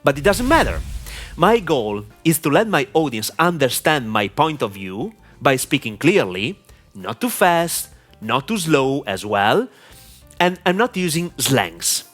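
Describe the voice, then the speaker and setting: A man with an Italian accent, whose first language is Italian, giving a deliberate, clear instructional talk to camera with frequent "uh" fillers. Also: fairly high pitch, big pitch swings, a bit bright, soft background music. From Italy. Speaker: online marketing mentor.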